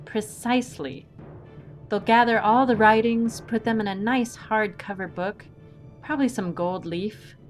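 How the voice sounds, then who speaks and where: A woman's voice: mid-range voice, unhurried, soft background music, lightly acted. Casting: woman for an unflappable, courteous adventuress with dry wit, mid-range voice, audio drama delivery. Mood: wryly musing. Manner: polite, unruffled, wryly philosophical. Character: composed, courteous, witty female masked swashbuckling heroine.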